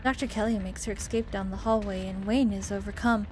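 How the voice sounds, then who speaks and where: A woman's voice: medium pitch, fairly even intonation, a little fast, darkish tone, a little hiss or hum. A woman reading visual novel text aloud expressively, with casual commentary as she plays. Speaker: gamer narrating a visual novel playthrough. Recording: music behind the voice. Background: game music.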